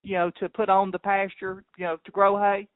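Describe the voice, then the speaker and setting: Tinny phone-line man, higher-pitched, plain-spoken farmer. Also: flat delivery, brisk, dry recording. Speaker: local cattle farmer. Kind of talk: casual phone chat. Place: on a phone call.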